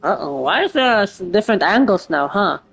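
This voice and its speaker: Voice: medium pitch, big pitch swings, fairly quick, creaky, fairly bright tone, husky. A young adult game streamer with an informal, offhand way of commenting. The voice drops short muttered reactions and loose chat, with faint music in the background. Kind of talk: casual gameplay commentary.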